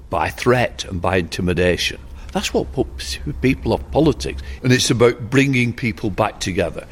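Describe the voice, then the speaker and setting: A man's voice: on the low side, slight background noise. A man, a member of parliament, talking earnestly in his office, repeating phrases for emphasis. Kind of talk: earnest on-location conversation.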